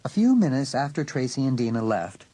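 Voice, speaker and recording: A man's voice: mid-range voice, very animated, fairly quick, dark tone, dry recording. A man reading scripted narration for a dramatised audiobook.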